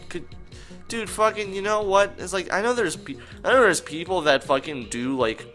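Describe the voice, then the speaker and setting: A man's voice: high voice, normal speed, fairly bright tone, soft background music. A young man co-hosting a casual chat, joking, swearing and slipping into mock-dramatic bits. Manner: profane, jokey, mock-dramatic outbursts. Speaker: podcast co-host.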